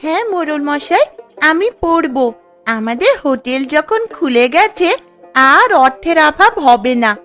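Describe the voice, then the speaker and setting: A woman's voice: high voice, very animated, unhurried, a bit bright, soft background music, exaggerated voice. A woman voicing a dutiful, eager young village girl in a cartoon moral story. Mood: cheerful, polite.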